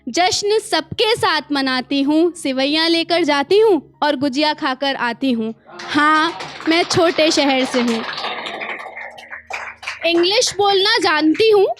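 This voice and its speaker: An Indian woman poet performing her own spoken-word verse live, expressive and deliberate, with asides to her listeners and lines repeated for emphasis. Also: high-pitched. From small town in India.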